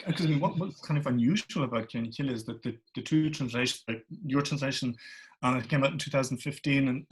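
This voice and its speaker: A man's voice: mid-range voice, low-quality recording. A man interviewing a translator, asking long hesitant questions with repeated words. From Ireland. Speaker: interviewer, centre director.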